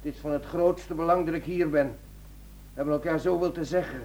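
An actor playing a distraught, confused middle-aged husband in a radio drama, pleading and fixated on the past. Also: male voice, a little high, steady tone, moderate pace, a little mellow, a little hiss or hum, close to an everyday voice, poor audio. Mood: fixated, withdrawn.

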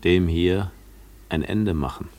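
An actor playing a withdrawn, guarded recluse in a German radio play, speaking in a reticent, contemplative and measured way. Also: male voice, sits low, darkish tone. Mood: evasive. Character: withdrawn, guarded male recluse shunning the world.

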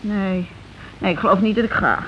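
An actress playing an anxious, submissive working-class wife in a radio play, with a timid, hesitant, stammering delivery. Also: female voice, mid-range voice, normal speed, close to an everyday voice. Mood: meek. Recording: hissy, dull old radio recording.

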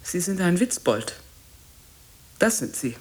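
An actress playing a bitter old woman in a radio drama, scolding and reproachful, halting and repeating herself. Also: on the low side, very animated, poor audio. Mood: indignant, reproachful. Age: older.

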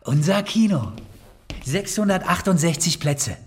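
A man's voice: on the high side, animated, a little slow. An actor playing a proud, affectionate man with a Berlin accent in a German radio play. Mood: proud, tender.

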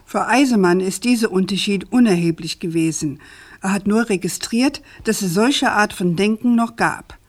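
An actress playing an earnest, persistent journalist in a radio crime drama, speaking articulately and insistently with moral indignation. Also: female voice, medium pitch, normal speed, natural voice. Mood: indignant, pointed.

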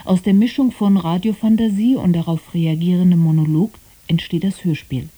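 A woman's voice: fairly low pitch, fairly even intonation, normal speed, dark tone. A woman reading scripted programme announcements on radio in a composed, formal manner.

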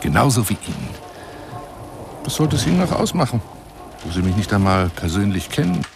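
A man's voice: on the low side, big pitch swings, fairly quick, music in the background. An actor playing a lonely, boastful, needy middle-aged man in a theatrical radio play monologue, pompous and effusive. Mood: wounded, reproachful. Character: lonely fat armchair adventurer, boastful, needy, pleading. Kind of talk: radio play monologue, addressing a woman by phone.

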